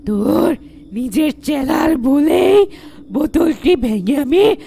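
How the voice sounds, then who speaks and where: A woman voicing a vengeful, menacing female tree ghost in a horror cartoon, with drawn-out, taunting, theatrical delivery. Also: high voice, lively intonation, vocal fry, mellow, heavily acted. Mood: gloating, taunting.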